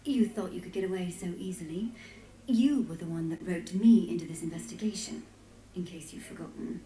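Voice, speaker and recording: Mellow, headstrong actress as a woman scholar. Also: on the low side, a little fast, reverberant, natural voice, poor audio. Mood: eager, insistent. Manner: animated, insistent, inquisitive. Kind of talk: dramatic video game voice acting.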